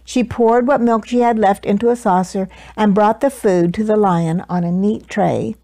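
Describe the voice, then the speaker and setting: Casting: woman, 60s, expressive children's story reader, dramatic emphasis, close dry lapel-mic sound. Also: medium pitch, no echo. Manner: deliberate, dramatic emphasis for young listeners. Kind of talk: expressive picture-book read-aloud. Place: small living room, indoors.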